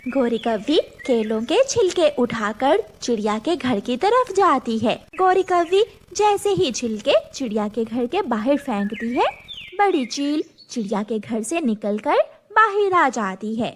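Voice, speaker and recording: A woman's voice: high-pitched, very animated, a little slow, a little hiss or hum. A woman narrating a scripted children's story as its storyteller.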